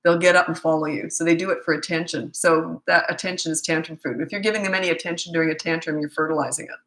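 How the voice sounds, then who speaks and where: A woman in her sixties speaks with a fairly low voice, worn thin by a cold that is making her voice give out. She answers parenting questions in a live stream, blunt and matter-of-fact, often asking rhetorical questions. The sound carries a roomy echo. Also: steady tone, fast talker, a bit bright. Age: 60s. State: has a cold, voice giving out. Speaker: parenting coach.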